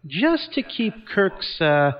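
A man's voice: high voice, very animated, takes it slowly, dull audio, full character voice. A man playing an enthusiastic audio-show actor, wry and theatrical, in scripted comedy dialogue.

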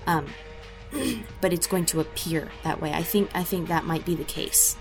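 A woman with a slightly low voice talks through her thoughts on the character she plays, circling back with fillers and restarting her sentences. Faint music sits under her. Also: normal speed, vocal fry. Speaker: voice actor for an audio drama. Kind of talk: interview answers.

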